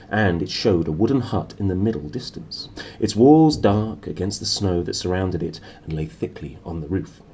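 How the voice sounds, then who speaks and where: Male reader for a novel, dark soft-edged tone, noisy small-room recording. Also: fairly low pitch. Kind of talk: reading a novel aloud.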